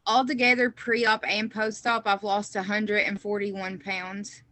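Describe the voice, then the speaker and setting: Bright-voiced woman in her twenties. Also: mid-range voice, flat delivery, measured pace. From Kentucky. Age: 20s. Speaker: guest.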